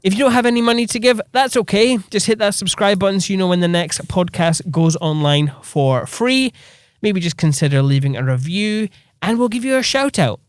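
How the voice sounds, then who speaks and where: A man hosting a podcast, relaxed and encouraging with guests, reading his outro promos briskly. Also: sits high, clean tone, dry recording, clean studio sound. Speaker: podcast host. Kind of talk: casual interview, scripted outro.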